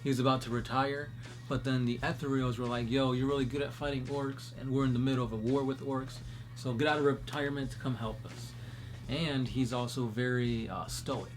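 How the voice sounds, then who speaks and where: A man co-hosting a casual chat, answering fan questions in a relaxed, chatty way. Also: mid-range voice, a little fast. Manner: relaxed, chatty, many fillers. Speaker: podcast co-host.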